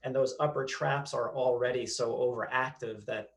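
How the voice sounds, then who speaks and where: A man's voice: mid-range voice, fairly even intonation, moderate pace, gravelly. A man hosting an interview over a video call, chatty and explanatory as he asks questions. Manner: chatty, explaining, frequent restarts. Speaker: host, interviewer.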